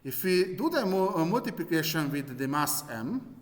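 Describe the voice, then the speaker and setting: A Hungarian man with a Hungarian accent lecturing physics in English to university students, halting with many fillers and restarts and slipping in dry jokes. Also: on the high side, very animated. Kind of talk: live classroom lecture. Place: large lecture hall. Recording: echoey lecture hall with long reverb.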